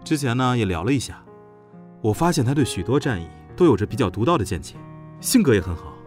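A young general in a modern audio drama , acted by a man. He is playful and teasing, and his pitch moves in an animated way. Soft music plays behind his voice. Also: fast, lightly acted. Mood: friendly, earnest. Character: teasing young male Alpha general, under thirty, playful.

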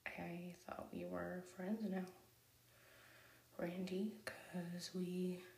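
A woman whispers as a fed-up cartoon burger cook, pleading and indignant. The voice stays slow and low, and the room echoes. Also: flat delivery, gravelly, a little mellow, distant mic, a little hiss or hum, full character voice. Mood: exasperated.

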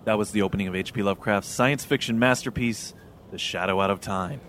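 A man's voice: lowish voice, a little fast, a bit bright, slight background noise. A man co-hosting a podcast in casual chat, with a joking, self-deprecating manner. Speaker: podcast co-host. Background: background music.

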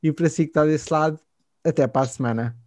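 A man's voice: fairly high pitch, very fast, a little mellow, dry recording, studio quality. A man with a European Portuguese accent hosting a radio interview, playful and joking. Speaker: radio show host. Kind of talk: casual radio interview chat.

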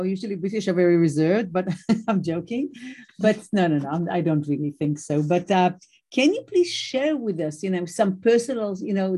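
A woman interviewer introducing her guest and asking questions in an effusive, animated way, often stumbling over her words. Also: medium pitch. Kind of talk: interview conversation.

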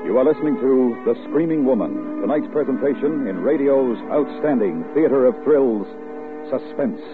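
A man's voice: mid-range voice, animated, normal speed, loud music behind the voice. A man announcing from a script in a formal, emphatic old-time radio style. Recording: muffled, tinny old radio broadcast.

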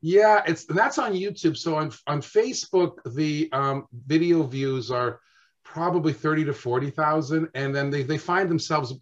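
A man telling lively stories as a guest on a video call, acting out the dialogue as he goes. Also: a little high, normal speed, muffled recording. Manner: animated storyteller, acts out dialogue. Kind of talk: casual storytelling chat.

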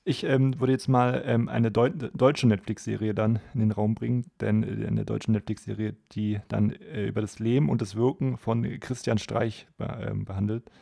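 A man with a dark, relaxed voice and some fry chats casually as a football podcast co-host. He speaks in a flat, hesitant way, often repeating words, and the recording is close and dry. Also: lowish voice, moderate pace, few pauses, no echo. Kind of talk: casual conversational football discussion.

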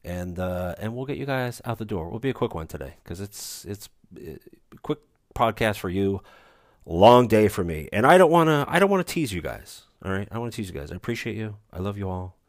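Deep-voiced man rambling on a podcast. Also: sing-song, a little fast, no echo. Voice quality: soothing low voice. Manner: rambling, self-deprecating, dry humor. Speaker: podcast host. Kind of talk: casual solo podcast monologue. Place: recording remote, away from studio.

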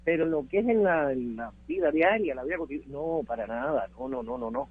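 A man's voice: on the high side, big pitch swings, brisk, gravelly, husky. Cuban-accented man on a tinny phone line. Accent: Cuban Spanish. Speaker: interview guest. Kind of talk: reminiscing conversation.

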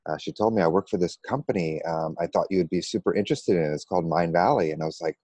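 A middle-aged man with an American accent, telling his personal story conversationally with a halting, pause-filled delivery. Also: sits low, mellow, slightly rough, dry recording. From United States. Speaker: interview guest, meditation coach.

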